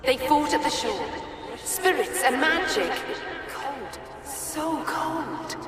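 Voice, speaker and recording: Slow, echoing, grieving ghost. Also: female voice, high-pitched, lively intonation. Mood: haunted, remembering. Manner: halting, fragmented phrases with long pauses. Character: grieving ghostly female spirit, dazed and sorrowful. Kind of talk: video game dialogue. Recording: echoey, long reverb, music underneath.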